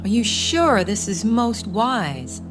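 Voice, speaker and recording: A woman's voice: medium pitch, sing-song, slow pace, over music. A woman playing an anxious rider who doubts a risky plan in an audio drama. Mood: wary.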